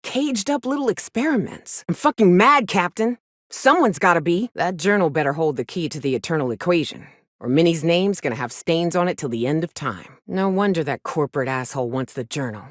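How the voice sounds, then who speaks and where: A woman's voice: on the low side, expressive, fairly quick, no echo, studio quality. An actress playing a jaded, hard-drinking frontier mercenary woman in a video game, blunt, sardonic and defiant, with salty phrasing. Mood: disgusted, then angry. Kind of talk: video game character dialogue.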